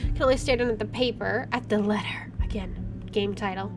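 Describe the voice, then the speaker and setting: A woman with a medium-pitched voice plays a story game, reading the narration aloud. She reacts casually as she goes, with clear music behind her. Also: brisk. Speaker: gamer playing and reading a visual novel. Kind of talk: gameplay commentary with narration read aloud.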